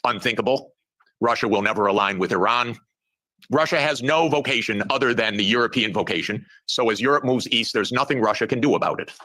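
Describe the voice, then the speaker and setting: A man speaking as a guest analyst, deliberate and dryly sarcastic in his commentary. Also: mid-range voice, pushed and bright. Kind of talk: measured commentary.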